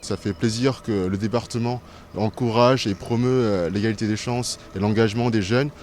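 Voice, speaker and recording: A man's voice: on the low side, fairly even intonation, normal speed, darkish tone, slight background noise. A man in his twenties, a student answering interview questions, hesitant with many fillers. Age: 20s.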